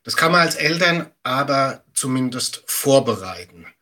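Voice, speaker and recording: Man, middle-aged, bright forceful voice, husky edge, emphatic, conversational expert explaining. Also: mid-range voice, lively intonation, measured pace. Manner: emphatic, explaining with everyday examples. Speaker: media education expert guest. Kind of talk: conversational interview answers.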